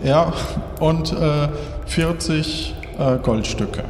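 Higher-voiced male game master, echoey with music. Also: drawn-out words, a little mellow, a little hiss or hum. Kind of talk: improvised game narration. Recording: echoey hall reverb with clear music.

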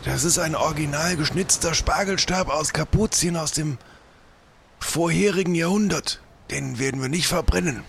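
A man's voice: fairly high pitch, normal speed, vocal fry, fairly bright tone, slight background noise. A man playing a small, proud, grumbling older pirate in an improvised audio adventure, opinionated and reproachful. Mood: grumbling, insistent.

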